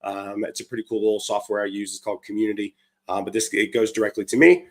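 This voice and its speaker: Hoarse, chatty man hosting a stream. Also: lowish voice, fast talker. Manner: upbeat, chatty, many fillers and restarts. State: hoarse from a cold. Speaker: livestream show host. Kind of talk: casual live-stream chat.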